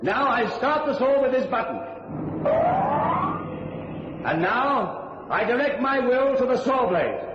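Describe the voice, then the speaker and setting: An echoey, slightly muffled radio drama recording. A showy male magician delivers grandiose stage patter in a very high, projected, commanding voice. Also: expressive, slow pace, long pauses, exaggerated voice. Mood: showy, theatrical. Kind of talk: radio thriller acting. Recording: echoey theatre sound, muffled, faint noise.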